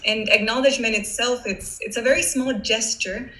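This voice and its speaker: A woman's voice: fairly high pitch, steady tone, moderate pace, fairly bright tone, low-quality recording. A woman in her thirties from Honduras, earnestly opening an online panel over a video call, formal with small restarts. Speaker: webinar host.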